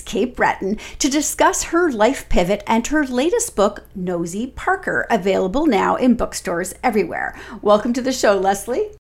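A woman hosting a podcast interview, chatty and affirming. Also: on the high side. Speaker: podcast host. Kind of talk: casual interview chat.

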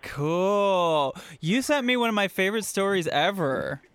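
A man hosting a podcast, chatting casually and informally with animated reactions. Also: high voice, unhurried, clear, resonant tone, no room sound. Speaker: podcast host. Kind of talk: casual interview chat.

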